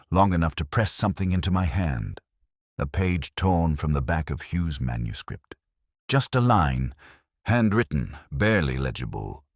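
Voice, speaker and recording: Brooding detective narrator male actor for audio mystery: bottomless bass, solemn and measured, expressive melody, dry studio sound. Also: breathy edge, no echo, full character voice. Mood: somber, reflective. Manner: solemn, reflective, measured short phrases. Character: melancholy, brooding male English detective inspector narrating his case. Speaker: first-person narrator.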